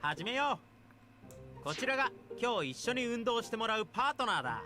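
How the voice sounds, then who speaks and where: An upbeat, motivating male coach from a video game. He speaks high and very animatedly, with a cheeky sharp tongue, over clearly audible music. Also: very animated, brisk. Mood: upbeat, instructing. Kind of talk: Japanese game voice acting. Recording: music behind the voice.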